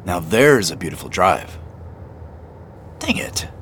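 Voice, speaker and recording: Animated, eager younger brother. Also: male voice, sits low, slow pace, exaggerated voice. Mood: musing, eager. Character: excited younger brother on a treasure hunt. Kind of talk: audio drama dialogue, naturalistic phone call. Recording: faint vehicle noise behind. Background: car driving.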